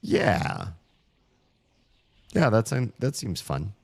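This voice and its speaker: A man streaming a puzzle game, grumbling sarcastically about the puzzles while his voice is going hoarse. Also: on the low side, big pitch swings, drawn-out words, dry recording. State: losing his voice. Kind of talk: casual live-stream commentary.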